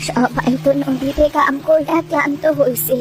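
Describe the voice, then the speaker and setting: A woman's voice: sits high, steady tone, normal speed, close to an everyday voice. A woman voicing a kindly old village grandmother in a cartoon, motherly and encouraging, in eastern Bengali dialect. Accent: eastern Bengali rural dialect. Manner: blessing, motherly. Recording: loud music behind the voice.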